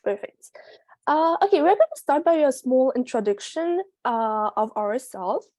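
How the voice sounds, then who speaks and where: Hesitant teenage girl, dark dry voice. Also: high voice, animated, measured pace, no room sound. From Belgium. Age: teenager, sixteen. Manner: hesitant, many fillers, light laughs. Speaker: meeting host presenting slides. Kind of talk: online meeting presentation. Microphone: video call.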